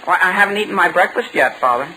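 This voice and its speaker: Excitable teenage boy, high-pitched, very animated, earnest, polite, 1940s radio comedy, old broadcast sound. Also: quick pace. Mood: reluctant, polite. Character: eager, squeaky, excitable teenage son. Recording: noisy vintage 1940 radio broadcast recording.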